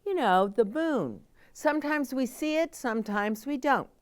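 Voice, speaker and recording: A woman's voice: high voice, animated, measured pace, no echo. A middle-aged woman reading a children's story aloud, expressively, with chatty asides explained simply as if to young children.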